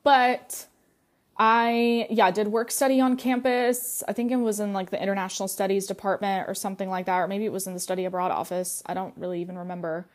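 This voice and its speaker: A woman's voice: medium pitch, fairly even intonation, fairly quick. A young adult woman hosting a podcast, talking casually about her own life, full of "like" and "um". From United States. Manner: chatty, many fillers and "like". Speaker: podcast host. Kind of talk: casual personal monologue.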